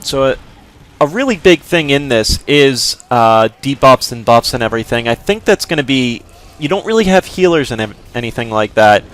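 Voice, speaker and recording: A man talking casually over his gameplay, explaining what his abilities do and often restarting his sentences. His voice is smooth and resonant at an ordinary middle pitch. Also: animated, moderate pace, fairly bright tone. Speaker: gameplay commentator. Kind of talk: casual let's-play commentary.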